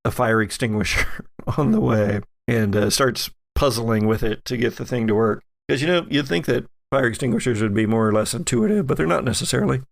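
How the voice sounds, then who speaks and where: A man running a tabletop game as game master, narrating off the cuff in a halting, conversational way. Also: medium pitch, vocal fry.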